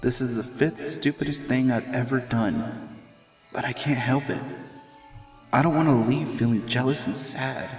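A man's voice: mid-range voice, normal speed, over music, close to an everyday voice, poor audio. A man voicing a self-pitying, sardonic young male pony in a cartoon comic dub. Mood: wry, resigned. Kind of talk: comic dub, dramatic monologue and dialogue.

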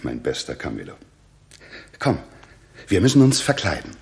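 An actor playing a commanding, troubled middle-aged king in a 1960s radio drama, stately and deliberate. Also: sits low, huge pitch swings, creaky, darkish tone, exaggerated voice. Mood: worried, scheming.

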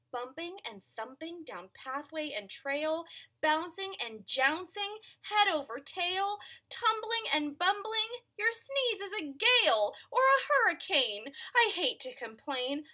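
A woman voicing a worried buffalo in a storybook read-aloud, pleading in a high, sing-song voice. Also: pushed and bright, full character voice.

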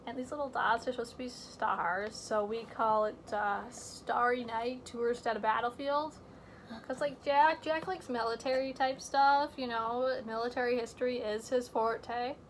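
Teen girl host, high voice, chatty and self-mocking, close computer mic, faint hiss behind. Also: fairly even intonation, moderate pace. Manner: drops to hushed whispers while showing things up close. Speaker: vlog host. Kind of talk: casual chat. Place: indoor home room with shelves.

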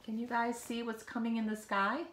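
A woman's voice: on the high side, little pitch movement, normal speed, fairly bright tone. A woman in her fifties reads a picture book aloud to young children, expressively, with chatty asides, recorded at home. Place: living room at home, by a window.

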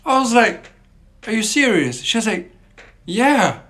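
A man's voice: sits high, big pitch swings, measured pace, a bit bright. A young man tells a dry, incredulous storytime to his phone. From Germany. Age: young adult. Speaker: TikTok storyteller. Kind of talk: storytime to camera. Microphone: phone camera close up.

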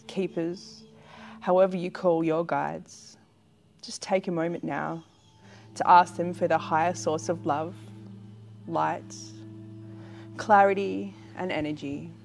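A woman with a fairly low voice guides a relaxation meditation. She speaks gently and calmly, taking her time and pausing often between short phrases. Music plays clearly behind her. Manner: gentle, calm, unhurried with long pauses. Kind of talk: guided pregnancy relaxation meditation, spoken slowly and soothingly. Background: background music.

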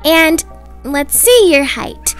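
Very high-pitched, lively young woman. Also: takes it slowly, faint music behind. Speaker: video creator voicing characters. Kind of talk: acted children's roleplay story.

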